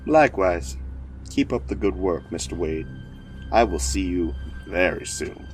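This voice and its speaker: A man plays a smug, coldly menacing city mayor for an audio drama. The voice is deep and swings widely in pitch, with theatrical, speechmaking delivery. Music plays softly behind. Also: big pitch swings, a little slow, full character voice. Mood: politely menacing. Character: weird male city mayor, smugly cheerful, coldly menacing.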